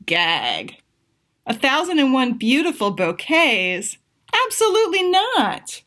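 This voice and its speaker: A spirited, stubborn little inventor girl from a picture book, played by a woman, speaks in a high, swooping voice. She is dramatic and exclamatory, taking her time over each phrase. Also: huge pitch swings, slow pace, fairly bright tone, full character voice. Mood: disdainful. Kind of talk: children's picture book read-aloud.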